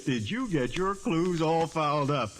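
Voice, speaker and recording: Sardonic American cop, blustery and slangy, very animated pitch, old-time radio crime show. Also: male voice, unhurried, a little hiss or hum. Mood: amused, teasing. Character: gruff, teasing male police detective. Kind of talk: 1940s radio detective acting. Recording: vintage 1940s radio recording.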